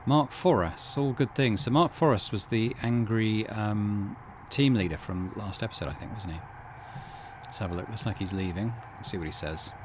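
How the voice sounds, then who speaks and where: A man's voice: on the low side, moderate pace, background noise. A man hosting a gaming channel, giving casual let's play commentary and reading game text aloud.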